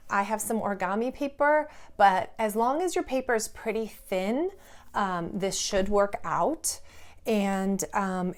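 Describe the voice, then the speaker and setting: Female preschool teacher, unhurried and expressive, slight creak, for children's read-aloud and simple craft steps. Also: medium pitch. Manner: expressive, simple step-by-step phrasing for young children.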